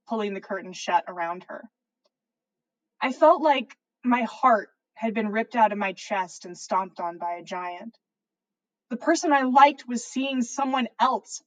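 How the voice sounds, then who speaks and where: A woman reading fan fiction aloud as a podcast host, in expressive first-person story narration. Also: fairly high pitch, poor audio.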